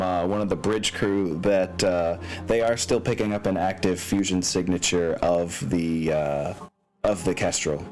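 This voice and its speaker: A man's voice: low voice, a little mellow. A man speaking casually as game master of a tabletop game, drawing out phrases for emphasis. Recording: music behind the voice. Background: background music.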